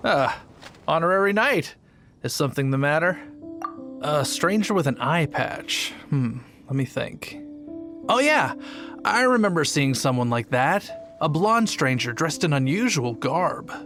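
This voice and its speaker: Easygoing, higher-voiced young gate guard. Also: male voice, very animated, measured pace, music in the background. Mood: easygoing, thoughtful. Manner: casual, nonchalant, chatty. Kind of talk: English anime-style game dub.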